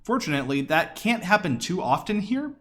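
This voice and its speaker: A man's voice: high-pitched, big pitch swings, brisk, fairly bright tone. A man giving casual, explanatory live commentary as he speedruns a video game.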